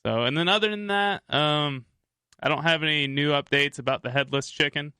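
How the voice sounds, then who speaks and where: A man's voice: fairly high pitch, normal speed, pushed and bright, clean tone, no echo, studio quality. A man sitting in as backup co-host, joking casually and laughing between lines. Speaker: guest co-host. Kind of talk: casual chat.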